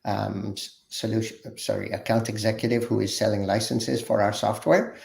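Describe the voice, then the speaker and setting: Panel guest: man, low creaky voice, narrow intonation, halting, informal self-introduction. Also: steady tone, darkish tone. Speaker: panel guest, tech sales consultant.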